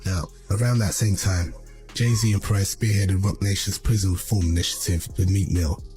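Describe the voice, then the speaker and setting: A man's voice: deep voice, steady tone, normal speed, dark tone, soft background music. A man giving scripted, explanatory video commentary. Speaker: narrator of a video essay.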